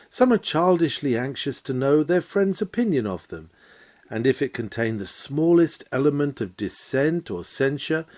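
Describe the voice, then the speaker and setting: A man reading a lecture aloud as scripted narration, in a measured, emphatic, oratorical manner. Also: fairly high pitch, a little mellow. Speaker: reader of a historic sermon lecture.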